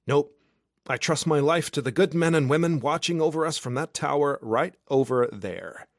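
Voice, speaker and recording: An excitable, cheesy young American guy on holiday, played by a male narrator. He speaks emphatically in a raised pitch, as a character in an audiobook. The sound is close and dry. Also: normal speed, no room sound, lightly acted. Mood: earnest. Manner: exuberant, emphatic.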